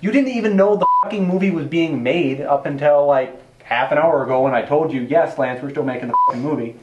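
A man's voice: on the high side, a little fast, lo-fi sound, natural voice. A man playing a bossy, exasperated amateur film director, argumentative and sarcastic, in a naturalistic comedic style. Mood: exasperated, commanding. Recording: echoey room, faint background noise.

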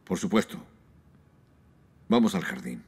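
A calm, guarded older man. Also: sits low, measured pace, husky, full character voice. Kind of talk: Spanish dub of a TV drama.

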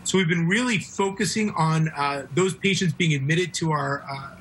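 A man's voice: fairly high pitch, moderate pace, a bit bright, slight background noise, low-quality recording. A middle-aged man, a medical officer, answering in a television news interview over a video call. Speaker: hospital chief medical officer.

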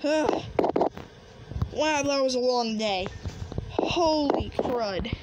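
Higher-pitched woman over clear background noise. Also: drawn-out words. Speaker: player in a game roleplay video. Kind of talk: improvised roleplay and casual gameplay commentary. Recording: noisy home recording with clicks.

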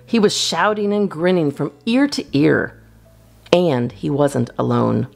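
A woman's voice: low voice, measured pace, creaky. A woman reading a children's storybook aloud expressively, as a storytime reader. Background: guitar music.

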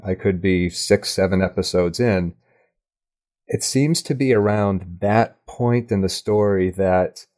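Deep-voiced man, a reflective podcast guest. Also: darkish tone. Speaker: podcast guest, audio drama writer. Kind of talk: casual, reflective interview chat.

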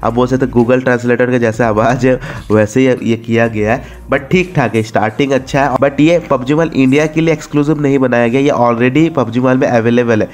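A man's voice: medium pitch, fairly even intonation, a little fast, darkish tone. A man with an Indian accent giving a casual, informal gaming voice-over as host, mixing in English words and repeating himself. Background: background music.

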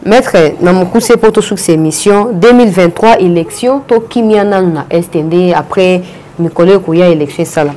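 A woman's voice: lowish voice, a little fast, warm tone, slight background noise. A young woman with a Congolese French accent interviewing a guest in an office, putting short questions and mixing French with Lingala. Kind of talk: political interview. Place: indoor office with bookshelves.

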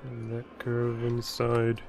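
A man's voice: mid-range voice, monotone, slow pace, darkish tone, slight background noise. A man thinking aloud in casual gameplay commentary, rambling and playful, recorded at home. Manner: rambling, playful, breaks into nonsense sounds. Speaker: let's play creator.

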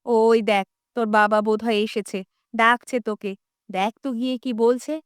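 A woman's voice: on the high side, steady tone, moderate pace, clean tone, dry recording, clean studio sound, natural voice. A woman playing a concerned, nagging village mother in a cartoon, coaxing and chiding. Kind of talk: cartoon dialogue acting.

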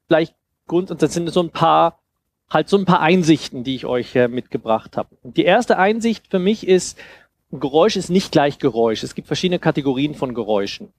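A man in his thirties with a slightly high voice gives an informal talk. He explains things in a conversational way, as a speaker at a small event would, into a headset microphone. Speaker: festival speaker, sound designer. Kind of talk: informal lecture with audience questions. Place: indoor festival stage with couch.

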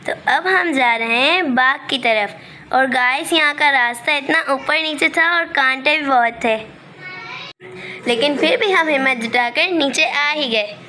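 A young woman narrates her vlog. Her voice is high and bright, and the amateur phone recording carries faint noise behind her. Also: measured pace. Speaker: vlogger.

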